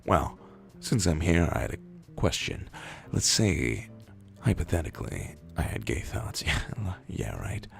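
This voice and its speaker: A man with a hoarse, gravelly voice playing a defensive, bragging teenage football jock who stammers and blusters in a comic reading. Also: very deep voice, big pitch swings, unhurried, soft background music, exaggerated voice. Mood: awkward, defensive.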